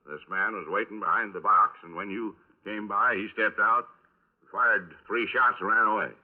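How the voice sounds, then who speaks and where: A male actor plays a folksy middle-aged police lieutenant with a very low voice. He questions in a fatherly, patient way, tagging questions with little prompts, in old radio crime drama style. The recording is thin and narrow-band. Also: steady tone, natural voice. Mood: probing, folksy. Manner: folksy, drawn-out questioning with trailing huh. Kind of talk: 1950s radio drama acting.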